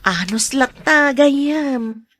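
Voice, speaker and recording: An actress playing a weary, insecure wife recovering from an accident in an Ilocano radio drama, with plaintive, drawn-out delivery. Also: female voice, high voice, animated, a bit bright, full character voice. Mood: chatty.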